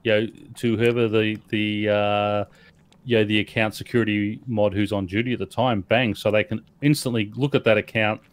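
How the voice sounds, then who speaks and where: A man co-hosting a casual podcast, rambling through his points with lots of fillers and quick agreement. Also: lowish voice, little pitch movement. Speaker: podcast co-host.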